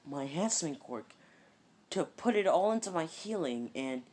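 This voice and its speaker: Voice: mid-range voice, animated, normal speed, darkish tone, close to an everyday voice. Narrator voicing an eager teen boy hero. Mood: earnest.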